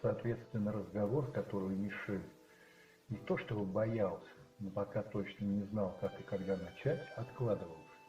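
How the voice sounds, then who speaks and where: A man narrating a radio play as dramatic literary storytelling, musing and rhetorical, with dramatic pauses. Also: on the low side, fairly even intonation, warm tone. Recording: roomy, slightly muffled, with music behind.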